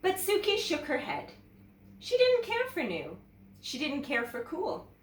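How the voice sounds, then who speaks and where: A woman teacher reading a children's story aloud in an expressive, animated way, talking directly to young viewers. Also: high voice, a little slow. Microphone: camera mic at some distance. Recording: roomy, distant mic with background noise.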